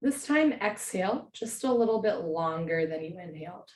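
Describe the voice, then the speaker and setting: Hoarse woman guiding yoga slowly. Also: fairly low pitch, expressive, reverberant. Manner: slow, gentle, soothing instruction. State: hoarse from a cold. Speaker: yoga teacher. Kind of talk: guided yin yoga class. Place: home.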